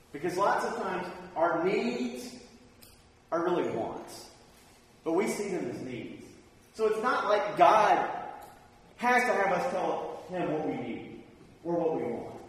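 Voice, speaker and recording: A man preaching a sermon in a plain-spoken, conversational, informal way. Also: a little high. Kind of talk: sermon with personal anecdotes. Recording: echoey hall, distant mic, faint noise.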